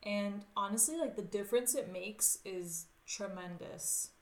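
A woman's voice: mid-range voice, measured pace, darkish tone. Upbeat woman host in an echoey room. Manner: enthusiastic, filler-heavy, upbeat. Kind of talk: casual chat. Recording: roomy echo.

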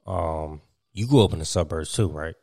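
A man's voice: extremely low pitch, moderate pace, vocal fry, mellow, no echo, clean studio sound. A young man from Virginia hosting a casual podcast chat, rambling with lots of fillers and laughing often. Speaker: podcast host.

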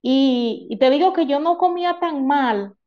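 A woman from the Dominican Republic with a Dominican accent, explaining things off the cuff in a halting, emphatic way that repeats words. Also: high-pitched, animated, dull audio. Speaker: guest speaker in a group discussion. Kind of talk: unscripted explanation of lived experience.

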